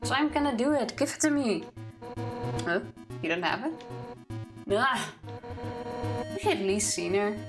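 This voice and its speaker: Brief: brash female warrior guard for a game a woman acting it, lowered from her usual voice, commanding, over music. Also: expressive, a little slow, pauses often. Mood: brisk, demanding. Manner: blunt, demanding.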